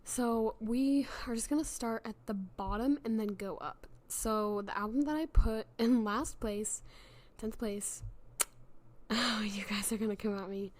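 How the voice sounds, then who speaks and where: Chatty young woman with a sick, worn voice. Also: fairly high pitch. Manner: chatty, self-deprecating, many fillers and restarts. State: sick, losing her voice. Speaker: podcast host. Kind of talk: casual solo podcast chat.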